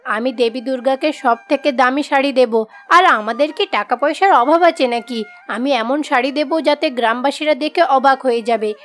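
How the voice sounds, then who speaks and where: Casting: actress as arrogant wealthy village woman, cartoon fairy tale, high voice, imperious and showy. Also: moderate pace, lightly acted. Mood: insistent. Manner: haughty, demanding. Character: rich, arrogant village woman, boastful and scornful.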